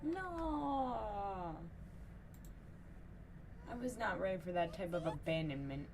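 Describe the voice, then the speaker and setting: A woman's voice: medium pitch, lively intonation, slow, music in the background. A woman game streamer giving casual live reactions to what she is playing.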